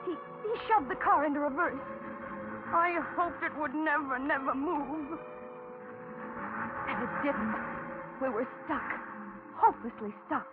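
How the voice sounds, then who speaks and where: An actress playing a frightened young waitress held hostage, with anxious, halting delivery in 1940s radio suspense style. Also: female voice, sits high, music in the background. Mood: anxious narration. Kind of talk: 1940s radio suspense acting, dramatic narration. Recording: tinny, noisy 1940s radio recording.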